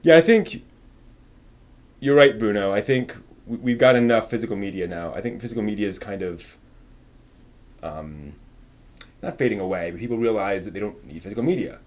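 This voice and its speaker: A man's voice: on the low side, moderate pace, long pauses, a little mellow. A man hosting a live stream, chatting casually with viewers in an informal, rambling way as he thinks aloud.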